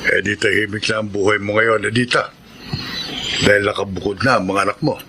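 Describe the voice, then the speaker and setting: A man's voice: lowish voice, steady tone, fairly quick, loud music behind the voice, natural voice. An actor playing a stern older brother in a radio drama, admonishing his family earnestly. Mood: calm, advising.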